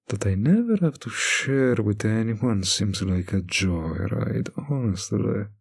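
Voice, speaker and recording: A man playing a teasing, possessive male vampire in an intimate roleplay addressed to the listener, with a husky, gravelly voice and a lingering delivery. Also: low-pitched, darkish tone, full character voice. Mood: seductive, pleased.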